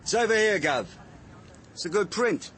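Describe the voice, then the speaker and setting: An actor in a radio drama playing an eager, deferential junior policeman, brisk and matter-of-fact. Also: sits high, animated, fairly bright tone, a little hiss or hum. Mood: businesslike.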